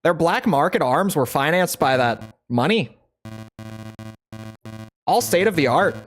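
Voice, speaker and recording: A man voicing a bland male CIA agent in a put-on Canadian accent, reading a mission briefing flatly. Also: a little high, unhurried, faint music behind.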